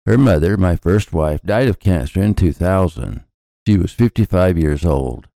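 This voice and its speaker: A man's voice: sits low, lively intonation, normal speed, creaky, warm tone, no echo, studio quality. An older man reading a scripted personal introduction as a podcast host.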